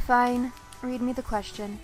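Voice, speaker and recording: Audio drama: actress as sensible, exasperated schoolgirl, high-pitched, dry delivery. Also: takes it slowly, darkish tone, faint music behind. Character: bookish teenage schoolgirl, sensible, easily exasperated. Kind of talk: naturalistic audio drama dialogue.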